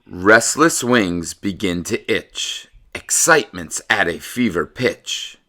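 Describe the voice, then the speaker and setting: A middle-aged American man, a teacher speaking to young children with animated, exaggerated emphasis. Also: deep voice, slow pace. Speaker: teacher reading stories aloud to young pupils. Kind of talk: casual chat to children, then storybook read-aloud. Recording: echoey room, a bit far from mic.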